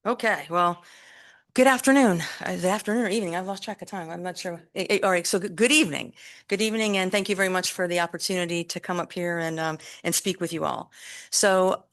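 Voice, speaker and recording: Woman with a big loud voice presenting at a board meeting, on the podium mic, slightly low pitch. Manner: loud, emphatic speaker with deliberate pauses. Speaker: city recreation staff presenter. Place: public meeting chamber.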